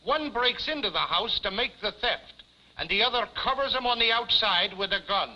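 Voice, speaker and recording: Blustering, piercing-voiced police chief. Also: male voice, high-pitched, animated, moderate pace, pushed and bright, exaggerated voice. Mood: officious, boastful. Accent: American. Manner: emphatic, officious, addresses woman formally as ma'am. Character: blustering hard-boiled police chief, gruff but courteous to ladies. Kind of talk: 1950s TV comedy acting. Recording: muffled 1950s television soundtrack.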